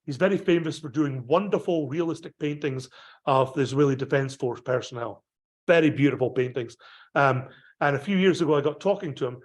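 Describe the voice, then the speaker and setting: A man's voice: mid-range voice, a little fast. A Scottish man, a pastor, giving a digressive slideshow talk about a pilgrimage, full of ums and restarts. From Scotland.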